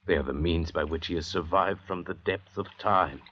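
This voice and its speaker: An actor playing an educated, bitter and pompous doctor among escaped convicts in an old-time radio drama, lecturing in a measured way. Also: male voice, low-pitched, a little hiss or hum, natural voice. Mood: brooding, contemptuous. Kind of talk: 1940s-50s radio drama acting. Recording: dull, hazy old radio recording.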